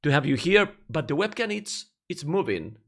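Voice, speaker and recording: Man, Spanish native, casual interviewer, teasing, laughs a lot, slightly high voice, video call. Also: big pitch swings, normal speed, a bit bright. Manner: playful, teasing, laughs often.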